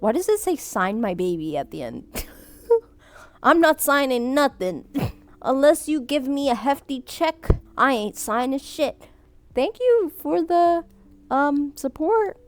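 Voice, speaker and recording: An animated young woman reads viewer submissions in a casual chat, her voice sitting a little high. Vietnamese was her first language. She laughs often, breaks off mid-sentence and starts over. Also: takes it slowly, dry recording. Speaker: streamer reading viewer submissions.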